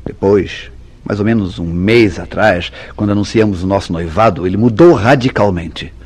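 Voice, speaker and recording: A man's voice: on the low side, moderate pace, a little mellow, breathy edge. A man playing an earnest, brooding newlywed husband in a vintage Brazilian radio soap, with theatrical, formal diction. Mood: suspicious, brooding. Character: tender but suspicious, brooding newlywed husband Mauro.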